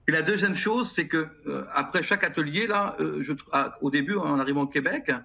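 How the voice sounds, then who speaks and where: Earnest French man with a husky, bright voice. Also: fairly high pitch. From France. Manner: earnest, halting, many repeated words. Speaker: guest, music educator. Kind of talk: TV interview answers. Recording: slightly dull broadcast sound.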